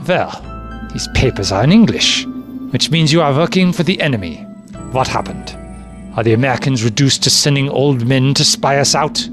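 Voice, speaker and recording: An actor playing a suspicious, contemptuous German army sergeant who barks curt commands in an audio drama. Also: male voice, mid-range voice, expressive, normal speed, lightly acted. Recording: music under the voice.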